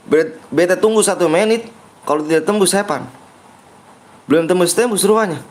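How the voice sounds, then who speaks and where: A man's voice: high-pitched, moderate pace, a little mellow. A young man hosting a game livestream in a slightly raised voice. He reads chat aloud, jokes around playfully and keeps nudging viewers to like and subscribe, all in loose, casual talk. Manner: reads viewer comments aloud and banters, pushing likes and subscribes; playful joking persona. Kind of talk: casual live-stream chat.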